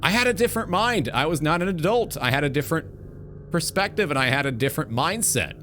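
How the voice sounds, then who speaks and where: A man's voice: on the high side, big pitch swings, fairly quick, pushed and bright, a little hiss or hum. A man in his twenties hosting a livestream, talking in a casual, emphatic, rambling way with some swearing. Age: 20s. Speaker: streamer and talk show host. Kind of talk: casual livestream rant about video games. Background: background music.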